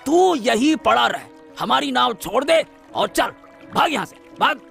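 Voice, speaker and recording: Cartoon villain, rich boat owner, arrogant, gravelly, high-pitched. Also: male voice, expressive, measured pace, a bit bright, soft background music, full character voice. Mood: angry. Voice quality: gravelly and bullying.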